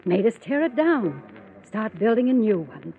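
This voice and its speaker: A woman's voice: on the high side, sing-song, moderate pace. An actress playing a flustered, anxious married woman in a radio mystery, with fretful exclamations in a 1940s acting style. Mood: distressed. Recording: old radio recording, narrow tinny band.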